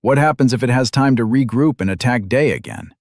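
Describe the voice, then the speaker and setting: A man's voice: mid-range voice, brisk, dry recording, clean studio sound, pitched above the performer's own voice, lightly acted. A man voicing an earnest, pushy young man who keeps pressing his friend with plans in an audiobook.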